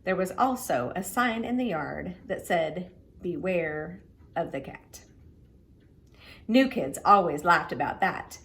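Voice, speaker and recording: A woman narrates a children's storybook with very lively, swooping intonation. Her voice sits at a middling pitch for a woman. She stresses key words dramatically as she reads. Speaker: children's book reader. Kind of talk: expressive storybook read-aloud.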